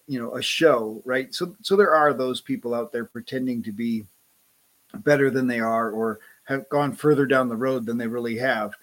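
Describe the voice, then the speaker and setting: A man in casual conversation, friendly and quick to laugh, often repeating words as he starts a thought. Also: mid-range voice, rough recording.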